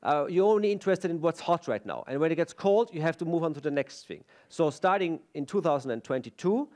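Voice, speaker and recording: Austrian guy, german accent, fairly high voice, rambly friendly conference talk on a stage. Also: lively intonation, brisk, darkish tone. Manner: chatty, self-deprecating, many fillers and restarts. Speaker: conference speaker.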